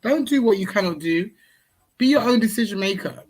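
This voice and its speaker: A woman gives advice in a live online workshop over a video call. Her voice is husky and mid-pitched, and she talks in a direct, coaching way with plenty of "you know". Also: expressive, fairly quick, a little mellow. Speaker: workshop co-host.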